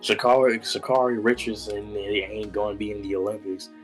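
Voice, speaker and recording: A man's voice: lowish voice, steady tone, fairly quick, soft background music. Eighteen-year-old guy, slangy and blunt. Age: teens. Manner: slangy, blunt, laid-back. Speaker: podcast co-host. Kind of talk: casual chat.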